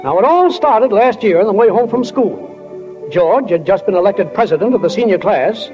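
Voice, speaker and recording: Homespun narrator, high-pitched voice. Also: male voice, lively intonation, normal speed. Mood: wistful, folksy. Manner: folksy, direct address to audience. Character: homespun, wistful stage manager narrating a small town. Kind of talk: 1940s radio drama narration. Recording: dull 1940 radio recording with music.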